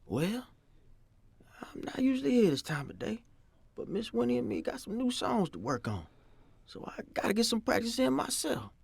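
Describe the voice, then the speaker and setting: A man playing an old, teasing former slave and musician in an audio drama, with a husky, gravelly drawl. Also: extremely high pitch, wildly swooping pitch, normal speed, no echo, exaggerated voice. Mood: easygoing, wry. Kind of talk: nostalgic audio drama acting.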